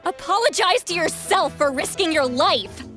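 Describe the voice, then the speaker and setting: A woman playing a stern, huffy grandmother with a soft spot for her grandson in a dramatic video game. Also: extremely high pitch, expressive, normal speed, faint music behind. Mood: scolding.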